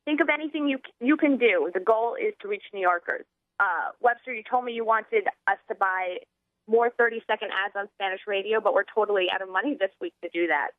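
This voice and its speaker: A woman's voice: mid-range voice, a little fast, no echo. An American woman in her thirties co-hosting political talk radio in an informal, chatty, conversational way. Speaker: radio co-host. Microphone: telephone. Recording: narrow-band telephone line on radio.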